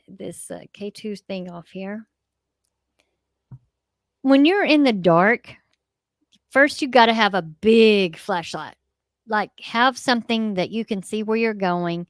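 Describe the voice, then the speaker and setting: A woman's voice: mid-range voice, a little slow, dry recording, clean studio sound. A middle-aged American woman co-hosting a show with casual, folksy, unscripted chat peppered with mild swearing. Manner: folksy, salty-tongued. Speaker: co-host of a paranormal show.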